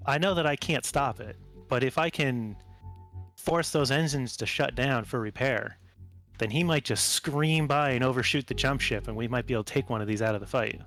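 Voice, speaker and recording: A man voicing a seasoned, cocky combat pilot in a tabletop game, speaking deliberately and matter-of-factly. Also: medium pitch, a bit bright, faint music behind, close to an everyday voice. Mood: measured planning. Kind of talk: tabletop roleplay dialogue.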